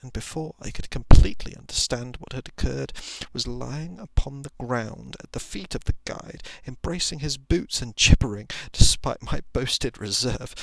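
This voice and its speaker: A man reading a thriller short story as ASMR, in a soft, hushed, often whispered voice with dramatic, drawn-out phrasing. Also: medium pitch, creaky, no echo. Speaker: narrator.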